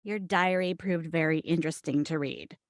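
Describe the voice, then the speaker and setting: A woman's voice: sits low, moderate pace, dry recording, clean studio sound, close to an everyday voice. A woman playing a brazen, oblivious tourist roommate in a screenplay table read, blunt and matter-of-fact about absurd things. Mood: blithely frank.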